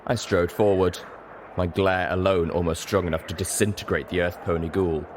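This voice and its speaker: A man's voice: low voice, steady tone, normal speed. A man reading a story aloud in dramatic, scripted first-person narration. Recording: faint background noise.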